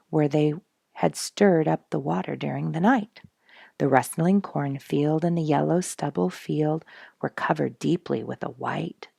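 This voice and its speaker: Female storybook reader, low and husky, expressive delivery, dry recording. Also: no echo. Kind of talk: scripted children's story narration.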